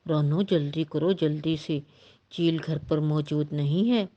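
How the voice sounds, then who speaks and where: Cartoon papa bird, lowered voice, insistent, reassuring, muffled audio, acted by a woman. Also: steady tone, mellow. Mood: urgent. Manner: urgent, brisk. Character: father bird, urgent and reassuring.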